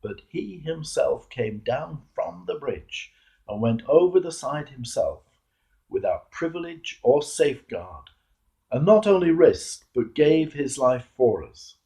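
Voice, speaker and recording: An older man with a British accent, a clergyman reading a homily aloud in a measured, earnest way with deliberate pauses and emphasis. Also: mid-range voice, sing-song, dark tone.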